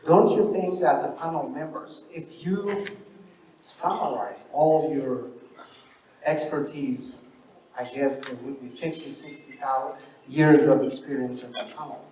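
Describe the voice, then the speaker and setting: A man from Afghanistan with an Afghan accent asking a question from the audience in halting, emphatic short phrases. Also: mid-range voice, darkish tone, far from the mic, slight background noise. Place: dinner event hall, audience floor. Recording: echoey room, off-mic, clattering dishes.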